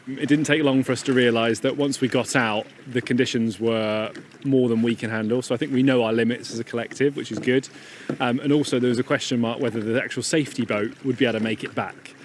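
A man interviewed outdoors by the water speaks in a reflective, steady way about his team. Faint outdoor sound lies behind. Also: mid-range voice, fast, a little hiss or hum. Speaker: expedition team member. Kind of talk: interview answer.

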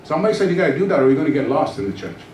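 A man's voice: fairly high pitch, very fast, a little hiss or hum. A middle-aged man preaching a testimony sermon on a church stage into a handheld mic, acting out the dialogue as he tells it. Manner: animated storytelling, acts out dialogue. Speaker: guest pastor. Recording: echoey room from far off.

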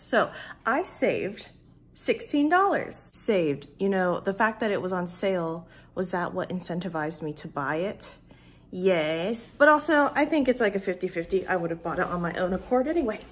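A woman's voice: medium pitch, lively intonation, moderate pace. A young woman in her twenties chatting upbeat to her phone camera as she vlogs her day, thinking aloud as she goes. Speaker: vlogger. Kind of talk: casual vlog chat. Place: car, store and home kitchen. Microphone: phone camera at arm's length.

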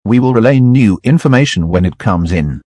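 A synthetic-sounding man reading a scripted emergency broadcast announcement in a flat, formal way. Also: on the low side, brisk, no echo, studio quality.

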